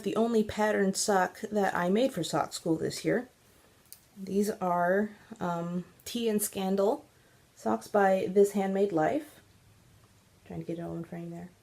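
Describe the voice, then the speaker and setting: Congested woman with a gravelly voice. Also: medium pitch, darkish tone. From Central California. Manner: chatty and rambling, many ums. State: stuffy, congested. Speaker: podcast host. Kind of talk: casual knitting video podcast chat.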